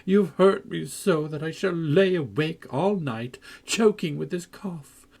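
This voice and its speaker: A man's voice: a little high, sing-song, moderate pace, a little mellow, husky, voice pushed up, heavily acted. A man voicing a peevish, sickly boy who whines and taunts in a self-pitying way.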